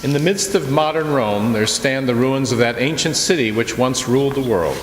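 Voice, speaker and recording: A man's voice: medium pitch, expressive, moderate pace. A man narrating a scripted radio drama in an echoey hall. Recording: echoey hall, faint background noise.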